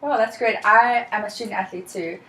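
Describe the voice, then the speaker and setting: A young woman from South Africa with a South African accent, leading a practice counseling session in a calm, measured, reassuring way. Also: medium pitch, roomy sound, low-quality recording. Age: young adult. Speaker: student counselor.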